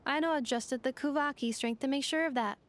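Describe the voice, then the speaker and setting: A woman's voice: high voice, brisk, a little hiss or hum, close to an everyday voice. A woman voicing a precise android helper. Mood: steady. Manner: clipped machine-like reports, formal and literal. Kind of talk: video game dialogue.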